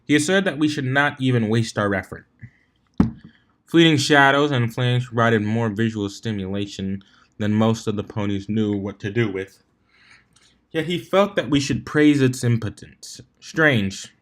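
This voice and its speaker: A man reading fan fiction aloud as an amateur narrator, expressive with the odd stumble. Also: medium pitch, measured pace. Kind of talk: amateur scripted story narration.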